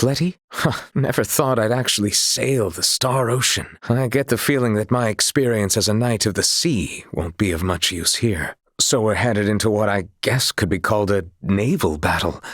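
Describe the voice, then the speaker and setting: A dutiful, remorseful knight from a fantasy video game. His voice sits on the low side and has a husky grain. He speaks in formal, archaic courtly phrases with solemn sincerity, recorded close and dry. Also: fairly bright tone, dry recording, exaggerated voice. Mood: earnest, resolute, then musing. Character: solemn honourable male former sea knight, remorseful, dutiful. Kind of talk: dramatic scripted game dialogue.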